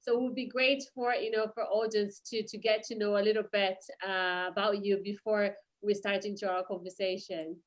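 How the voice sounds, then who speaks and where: A woman's voice: mid-range voice, flat delivery, normal speed, few pauses, poor audio. A woman hosting a podcast interview, speaking hesitantly with many fillers. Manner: hesitant, full of "you know" and "uh" fillers. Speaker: podcast host.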